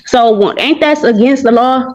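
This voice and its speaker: A woman's voice: on the high side, moderate pace, darkish tone. A woman speaking as a defendant in court, asking questions in a persistent, colloquial way. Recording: dull, noisy courtroom microphone audio.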